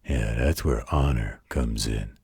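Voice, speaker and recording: An extremely low, heavily rasping werewolf played by a man, gruff but gentle and protective. He speaks in a folksy, unhurried way, as in a bedtime roleplay audio, close and dry. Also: dry recording, exaggerated voice. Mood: proud, reassuring. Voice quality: deep, gravelly, husky. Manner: folksy, slow, patient drawl with colloquial turns.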